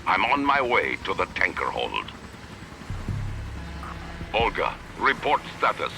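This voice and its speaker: An actor playing a commanding military colonel in a video game, giving orders over a radio. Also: male voice, deep voice, huge pitch swings, takes it slowly, creaky, over music, full character voice. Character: commanding male military colonel reporting to his units. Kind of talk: dramatic video game voice acting. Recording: radio transmission with loud noise.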